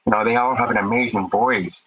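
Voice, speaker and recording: A man's voice: fairly low pitch, steady tone, brisk, bright, forceful tone, husky, dull audio. Earnest man with a deaf accent. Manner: earnest, speaks with conviction, repeats words when starting. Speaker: podcast guest. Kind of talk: casual interview talk.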